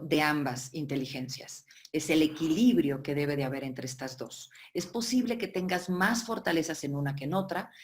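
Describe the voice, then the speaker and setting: A woman's voice: low voice, a little mellow. A woman from Barcelona, giving a webinar lecture as a workshop facilitator, explaining at length and often checking in with her listeners. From Barcelona, Spain. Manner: explanatory, with frequent check-ins and filler "eh".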